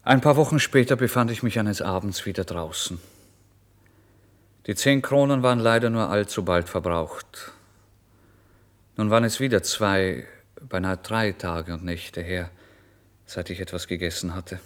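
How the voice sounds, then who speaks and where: A man's voice: low-pitched, a little slow, long pauses. An actor playing a starving, impulsive male writer in a theatrical, changeable first-person literary monologue for radio. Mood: weary, hungry. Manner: theatrical, swinging from exclamations to hushed whispers. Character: starving, impulsive male writer recounting his misery and brazen lies.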